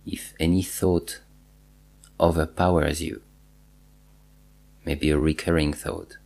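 A man guiding a body scan meditation, giving measured instructions in short phrases with pauses and repetition. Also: rumbling low, level tone, vocal fry, darkish tone. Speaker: meditation teacher.

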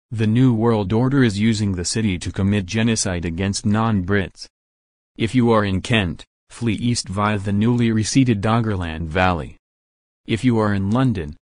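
Guy doing a grave formal emergency broadcast announcer, lowish voice, measured, very dry sound. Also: dry recording, lightly acted. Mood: grave warning. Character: grave male survivors' group broadcast announcer.

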